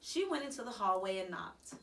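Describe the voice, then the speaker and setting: A woman reading a children's storybook aloud in an expressive, narrated style. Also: mid-range voice, a little fast, recorded from a distance. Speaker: storybook reader.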